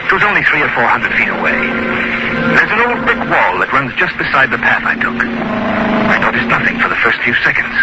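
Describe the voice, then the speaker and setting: An actor playing a terrified, haunted gentleman in melodramatic, intense 1940s radio horror style. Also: mid-range voice, very animated, brisk, very noisy, close to an everyday voice. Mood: mounting fear. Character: terrified gentleman haunted by a red-eyed beast. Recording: old 1940s radio recording. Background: dramatic music.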